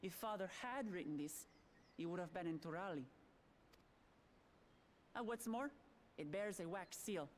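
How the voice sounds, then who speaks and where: A woman's voice: lowish voice, animated, unhurried, pauses often, slight background noise. A woman playing a confident, cheerful, candid young princess in a video game, speaking in an articulate, breezy way. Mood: explaining, candid. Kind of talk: video game cutscene voice acting.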